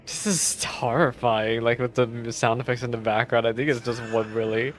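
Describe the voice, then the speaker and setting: A man's voice: medium pitch, fairly even intonation, normal speed, a little hiss or hum. A man giving live game reaction commentary as a streamer, in informal, exclamatory asides.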